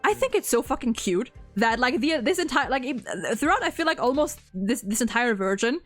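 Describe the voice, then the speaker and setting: A woman's voice: sits high, lively intonation, fairly quick, fairly bright tone, faint music behind. A young woman chatting casually as the host of a gaming discussion, informal and sweary, gushing like a fan. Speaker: host of a video game ranking discussion. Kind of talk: casual chat.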